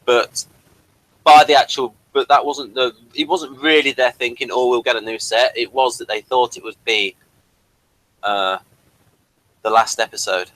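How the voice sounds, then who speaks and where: A man with a very bright, forward voice at a middle pitch talks casually as a fan co-host. He rambles through trivia haltingly, often restarting and repeating his phrases. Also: fairly even intonation, no room sound, low-quality recording. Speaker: podcast co-host. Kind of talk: casual fan discussion with behind-the-scenes trivia.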